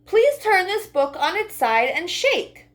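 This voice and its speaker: Picture-book little girl woman performing: plucky, courteous, high and very animated. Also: takes it slowly, full character voice. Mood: polite appeal.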